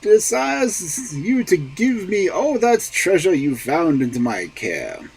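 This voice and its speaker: A man voicing a stern, blustering official secretary in a folk tale reading, with a husky voice. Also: high-pitched, very animated, takes it slowly, voice pushed up, heavily acted. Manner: stern, demanding.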